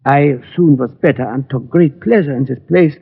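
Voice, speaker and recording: A man playing a small, polite German gunmaker in his sixties in a radio drama, speaking halting, formal English with a German accent. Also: medium pitch, creaky, slightly rough. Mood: earnest. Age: 60s. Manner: halting, formal, foreign phrasing. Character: small, polite elderly German immigrant gunmaker seeking help. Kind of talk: 1940s radio drama acting. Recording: tinny vintage radio recording.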